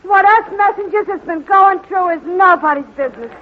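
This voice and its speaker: A woman's voice: extremely high pitch, brisk. An actress playing a blunt, put-upon young woman messenger who talks in tough slang, in a 1940s radio comedy. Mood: deadpan. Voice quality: tough street slang, deadpan. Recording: muffled old radio recording with faint hiss.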